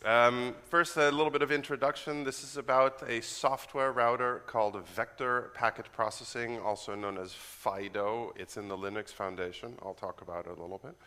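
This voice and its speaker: A man from the Netherlands with an American accent, giving a quick, casual, joking technical talk at a conference. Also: mid-range voice, steady tone, bright, forceful tone, clean tone. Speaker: conference speaker. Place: conference hall. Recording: reverberant conference hall.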